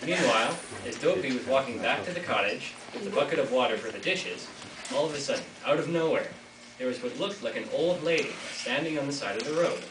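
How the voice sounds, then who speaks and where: A man's voice: mid-range voice, lively intonation, brisk. A teenage boy reading scripted fairytale narration for a school readers' theater. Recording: echoey noisy hall from far off.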